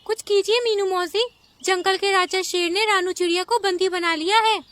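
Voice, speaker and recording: Little baby animal for a kids cartoon, super high and piercing, innocent, pleading. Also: female voice, fairly even intonation, moderate pace, a bit bright, dry recording. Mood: urgent, pleading. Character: small earnest young bird or goat kid, innocent. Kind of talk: Hindi children's cartoon voice acting.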